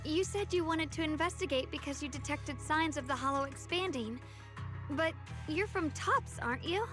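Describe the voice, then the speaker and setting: A woman voicing a gentle but determined young swordswoman in an anime game, with a soft, youthful, sincere delivery. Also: sits high, moderate pace, music in the background, natural voice. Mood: questioning.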